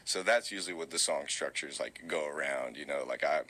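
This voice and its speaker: A young man with a very low voice that still carries a bright, forward edge. He talks in a relaxed, rambling way, full of fillers and restarts. The sound is tinny, like an old phone recording. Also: a little fast. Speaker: musician guest. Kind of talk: casual interview answers.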